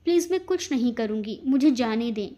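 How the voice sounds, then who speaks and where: A woman's voice: a little high, lively intonation, fairly quick, mellow, close to an everyday voice. A woman voicing a feisty but easily frightened young woman in a dramatic audio story. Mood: frightened, pleading. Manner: stammers when frightened.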